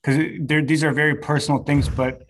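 A man's voice: a little high, level tone, fairly quick. A man whose first language is Serbo-Croatian, interviewing a guest in a chatty way with lots of fillers. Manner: friendly, chatty, many fillers. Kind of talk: casual interview.

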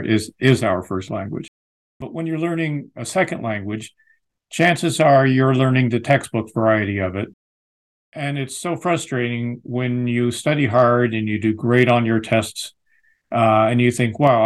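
An American man, a lexicographer and author, giving thoughtful, measured interview answers with frequent restarts. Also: mid-range voice. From United States.

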